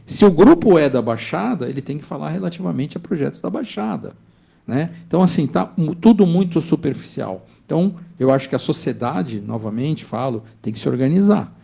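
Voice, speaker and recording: Business guest, male, 50s: gravelly, warm-toned, emphatic and insistent. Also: a little high, expressive, brisk. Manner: emphatic, insistent, keeps checking with the listener. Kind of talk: opinionated studio discussion.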